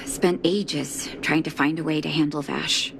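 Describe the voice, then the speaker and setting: Game doctor: lower-pitched, measured, weary but earnest, over noisy scene ambience. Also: female voice, fairly even intonation, gravelly. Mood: somber, regretful. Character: composed, weary woman doctor of an underworld clinic. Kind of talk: video game cutscene acting.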